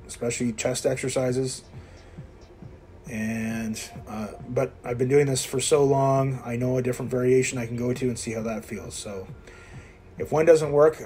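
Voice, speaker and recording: A man's voice: mid-range voice, a little mellow. A man in his fifties from Quebec, talking casually while working out in a gym and coaching viewers in a blunt, self-mocking way. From Quebec, Canada. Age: 50s. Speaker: fitness vlogger. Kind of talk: casual workout commentary. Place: indoor gym.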